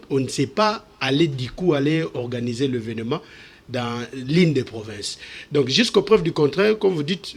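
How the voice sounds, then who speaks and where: A man's voice: medium pitch, slightly rough. A man with a Congolese French accent speaking as a guest organiser, explaining things courteously and formally in a television interview. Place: television studio set.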